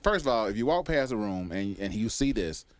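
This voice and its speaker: A man's voice: lowish voice, a little fast. A middle-aged man hosting a casual comedic talk show, swearing freely and arguing his point with repetition. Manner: animated, argumentative, repeats himself for emphasis.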